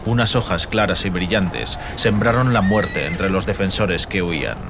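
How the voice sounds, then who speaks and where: A man reads a fantasy audiobook as narrator, with a solemn, measured and theatrical delivery. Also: low-pitched, noisy background. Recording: music bed under the voice. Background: music.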